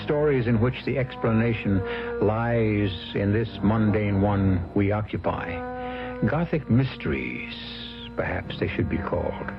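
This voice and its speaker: A man narrating an old radio mystery series as host, theatrical and ominous, with measured delivery and a gravelly voice. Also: lowish voice, animated. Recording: muffled old radio recording. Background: loud dramatic music.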